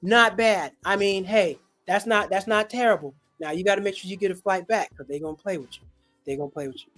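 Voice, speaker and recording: A comedian hosting a casual livestream chat, telling stories in an animated, slangy way. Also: mid-range voice, brisk, soft background music. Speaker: host and comedian.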